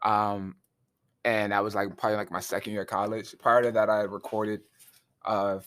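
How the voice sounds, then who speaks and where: A man, telling stories in a relaxed way with drawn-out fillers. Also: lowish voice. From Southern California. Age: 20s. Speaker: guest rapper. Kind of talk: casual storytelling. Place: recording studio.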